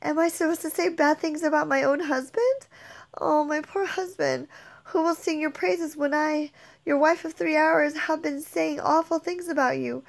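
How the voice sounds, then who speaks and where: Brief: woman reading a young Juliet, high-voiced and anguished, declaiming her grief. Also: fairly even intonation, moderate pace, vocal fry. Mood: grieving. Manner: emotional, declamatory. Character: young woman Juliet, grieving, torn, passionate. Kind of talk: dramatic reading of a modernized play.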